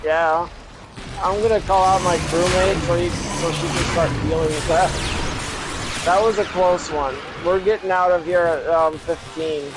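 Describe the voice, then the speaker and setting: High-voiced young man, rambling gamer chat. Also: fairly even intonation, unhurried. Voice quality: sounds younger than he is. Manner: rambling, self-deprecating, fillers and restarts. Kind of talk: casual chat while playing. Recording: loud game noise behind.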